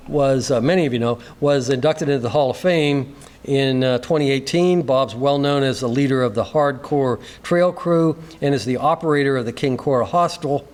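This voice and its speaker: A man at a mid pitch reads a prepared introduction at a ceremony. His delivery is formal and measured, with a few small stumbles as he calls the next speaker forward. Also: darkish tone. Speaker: master of ceremonies at an induction.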